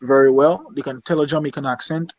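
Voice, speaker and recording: A man's voice: medium pitch, fairly even intonation, fairly quick, no echo, highs cut off. A man from Dominica with a Dominican accent, a singer talking casually in an interview and often checking "you understand?". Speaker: singer guest.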